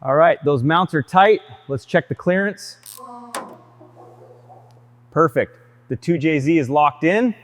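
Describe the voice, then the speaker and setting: A man's voice: on the high side, takes it slowly, long pauses, slight background noise. A man working as a car restoration mechanic, calling out each step in short, clipped, procedural phrases. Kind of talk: short step-by-step workshop commentary.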